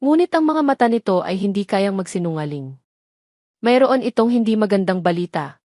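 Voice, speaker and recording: A woman's voice: mid-range voice, expressive, moderate pace, darkish tone, no echo, studio quality. A woman reading a dramatic scripted love story as the narrator of an audio drama.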